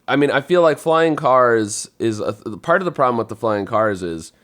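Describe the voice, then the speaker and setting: A man in casual podcast conversation, easygoing and thinking out loud as a panelist. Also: mid-range voice, expressive, normal speed.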